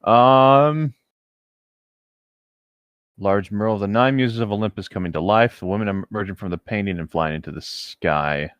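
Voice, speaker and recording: A man's voice: on the low side, normal speed, pauses often, smooth, dry recording, highs cut off. A man casually co-hosting a comedic fan fiction reading, bantering hesitantly and often amused by what he reads. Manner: hesitant, amused, prone to breaking into laughter. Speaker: co-host reading fan fiction aloud with commentary.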